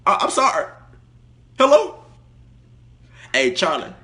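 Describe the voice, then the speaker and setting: A man's voice: high-pitched, huge pitch swings, slow, pushed and bright. A man making a live prank phone call, mock-formal and provoking, rambling as he claims authority. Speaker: prank caller.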